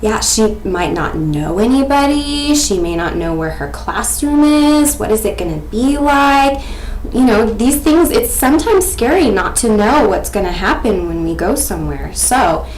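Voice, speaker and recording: An American woman in her twenties giving an expressive, explanatory lesson presentation as a student teacher. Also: a little high, a little slow. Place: small indoor room at home. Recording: echoey room with faint background noise.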